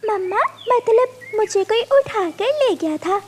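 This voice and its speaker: A woman's voice: very high-pitched, lively intonation, measured pace, a little mellow. A woman voicing a curious, eager young daughter bird in a children's cartoon. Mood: curious, concerned. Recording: faint background noise.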